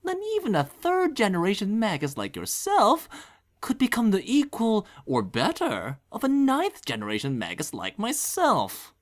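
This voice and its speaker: A man's voice: high-pitched, huge pitch swings, normal speed. A man playing a pompous, condescending professor of magic in an anime parody dub, sneering and mocking.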